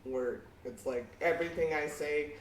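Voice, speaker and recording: Outspoken woman podcast co-host, deepish voice, echoey room with a distant mic. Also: normal speed. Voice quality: fairly deep voice for a woman. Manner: blunt, outspoken, swears freely. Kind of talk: casual podcast chat.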